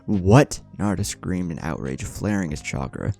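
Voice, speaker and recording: A man's voice: extremely low pitch, very animated, brisk, vocal fry, warm tone, faint music behind. A man reading a scripted fan fiction story as its narrator, in audiobook style.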